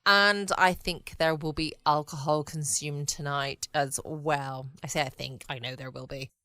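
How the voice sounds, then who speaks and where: Southern english lady chatting on her podcast, bright voice, dry close sound, rambly. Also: low-pitched, fairly even intonation, a little slow, no room sound. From United Kingdom. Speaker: podcast host. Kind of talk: chatty informal solo podcast. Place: at home, dry close room.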